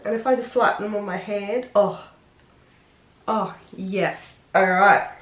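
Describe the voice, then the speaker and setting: A woman with a head cold, sounding nasal and sniffly, chatting excitedly through a casual makeup tutorial. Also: medium pitch, slow, muffled recording. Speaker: beauty vlogger. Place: home room. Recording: roomy, echoey room.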